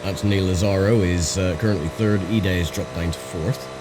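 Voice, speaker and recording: Croaky low-voiced man. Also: moderate pace. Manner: relaxed, chatty, thinking aloud with fillers. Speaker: gaming channel host. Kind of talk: casual racing game commentary. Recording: voice over game audio with engine noise.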